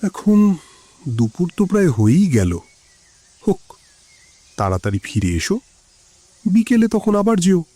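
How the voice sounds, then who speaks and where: High, mellow, dignified uncle. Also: male voice, big pitch swings, measured pace, pauses often, exaggerated voice. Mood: caring, advising. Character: dignified, caring elder uncle, gently authoritative. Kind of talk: audio drama dialogue.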